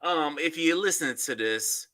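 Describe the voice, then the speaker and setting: A man's voice: on the high side, lively intonation, moderate pace, bright, forceful tone. A man hosting a podcast, talking loosely in casual chat and swearing freely. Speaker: podcast host.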